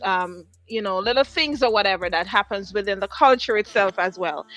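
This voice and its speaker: A woman with a high, bright voice hosts a music show from a studio. She speaks to her live audience effusively and encouragingly, driving points home by repeating them. Music plays faintly behind her. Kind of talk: interview and motivational talk to a live audience.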